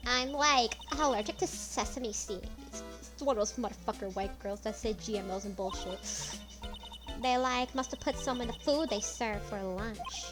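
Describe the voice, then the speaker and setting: A woman's voice: fairly high pitch, moderate pace, close to an everyday voice. A woman voicing a ditzy valley-girl college student who fills every sentence with "like". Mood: airy. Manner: airy, filler-heavy, says "like" constantly. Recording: game music behind voice. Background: video game music.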